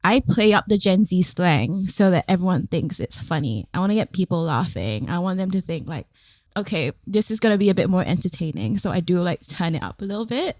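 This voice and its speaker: A woman's voice: fairly low pitch, lively intonation, fairly quick, darkish tone, no echo. A woman from Singapore, a podcast guest, chatting casually with frequent "like" fillers. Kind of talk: casual chat.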